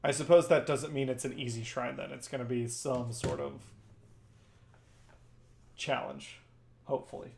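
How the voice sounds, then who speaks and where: A man's voice: mid-range voice, fairly even intonation, moderate pace, vocal fry, pauses often, a little mellow, a little hiss or hum. A man whose first language is Farsi, hosting a game stream and chatting casually with viewers by name. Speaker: streamer and host. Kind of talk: casual chat while gaming.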